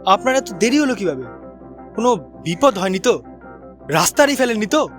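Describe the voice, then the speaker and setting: Hurried, higher-voiced worried friend. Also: male voice, sing-song, a bit bright, faint music behind. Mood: anxious. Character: anxious, inquisitive male friend waiting with car. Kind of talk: audio story dramatization.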